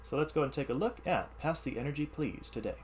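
A man teaching through an expressive read-aloud of rhyming science verse, with explanatory asides in an instructional manner. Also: on the high side, fast talker, a little hiss or hum. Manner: animated, instructional.

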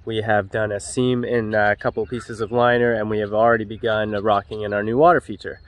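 Smooth-voiced young man giving a job-site walkthrough. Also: on the low side, a little fast. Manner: relaxed, explanatory, frequent "uh" fillers. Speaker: pond builder. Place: outdoor backyard construction site. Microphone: handheld wide-angle camera at arm's length.

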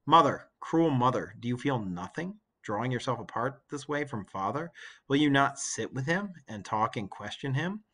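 A man's voice: medium pitch, lively intonation, moderate pace, a bit bright, pitched below the performer's own voice. A man playing a reproachful grown son in a story read aloud, grave and accusing. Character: reproachful grown son scolding his mother.

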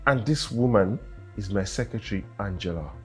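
A man's voice: fairly low pitch, animated, normal speed, soft background music, lightly acted. An actor playing an arrogant husband who mocks and dismisses his wife, often stammering when agitated. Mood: scornful.

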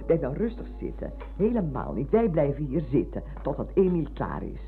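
A woman's voice: low-pitched, huge pitch swings, moderate pace, faint music behind, exaggerated voice. A woman playing an elderly, motherly and fussy former schoolmistress in a radio drama, hesitating, repeating words and exclaiming. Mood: fussy, insistent. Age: older. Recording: muffled phone-like old radio recording, humming noise.

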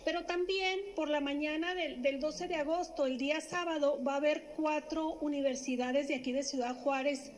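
A middle-aged woman, a city official, announcing an event at a press conference into a stand microphone, speaking haltingly with many fillers and restarts. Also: high-pitched, fairly even intonation, a little hiss or hum. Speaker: municipal government official. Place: indoor press room.

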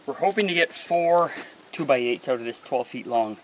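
A man's voice: medium pitch, expressive, normal speed, slight background noise, poor audio. A man in his forties, a homesteading vlogger, chatting casually to the camera outdoors in a rural yard. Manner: easygoing, plain-spoken, addresses viewers as folks.

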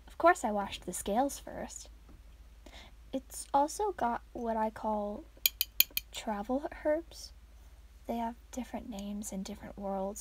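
A woman playing a friendly, absent-minded part-dragon traveler in an ASMR roleplay, soft-spoken, chatty and musing. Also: a little high, breathy edge. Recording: faint noise, clicking sounds, outdoors.

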